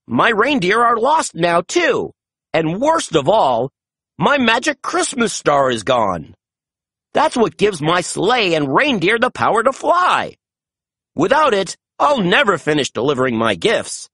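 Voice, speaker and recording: Narrator putting on a kindly old christmas man, urgent and pleading, pitched up, lots of swoops. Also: wildly swooping pitch, measured pace, a bit bright, slightly rough, dry recording, clean studio sound, full character voice. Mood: distressed.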